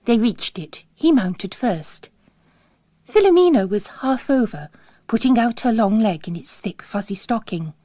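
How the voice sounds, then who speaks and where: Female narrator for a literary novel: dramatic, slow, varied intonation, very dry studio-like sound. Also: on the high side, expressive, warm tone, no echo.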